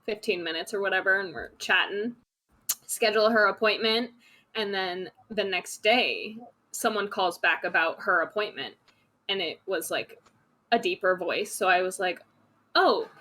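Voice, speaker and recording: A woman tells an embarrassing story on a podcast in a casual way, with lots of likes and ums. Her voice is bright. She pokes fun at herself as she talks. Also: medium pitch, normal speed. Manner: self-deprecating storyteller, frequent likes and ums. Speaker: podcast guest. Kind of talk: casual chat.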